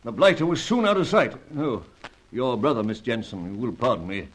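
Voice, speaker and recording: An actor playing a blustery upper-class British spymaster in an old radio serial, pompous and formal, with a husky voice. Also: male voice, mid-range voice, big pitch swings, fairly quick, low-quality recording. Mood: exasperated. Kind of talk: old-time radio adventure serial acting.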